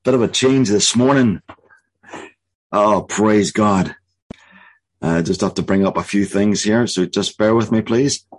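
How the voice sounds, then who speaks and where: A man preaching a sermon, earnest and halting, with many restarts, slowing down to deliver what he hears as a word from God. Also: lowish voice, rough recording. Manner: slow, emphatic delivery of spoken prophecy. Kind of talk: sermon with prophetic words.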